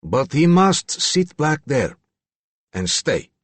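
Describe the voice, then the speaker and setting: A man's voice: on the high side, very animated, takes it slowly, husky, no echo, pitched above the performer's own voice, full character voice. Man acting a stern, stiffly commanding protector. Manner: terse, stiff, formal, unidiomatic phrasing.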